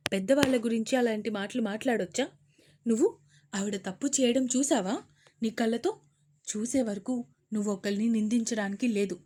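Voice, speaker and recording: A woman's voice: medium pitch, a little mellow, natural voice. A woman voicing a middle-aged mother who scolds her daughter and pleads with her in a Telugu audio story.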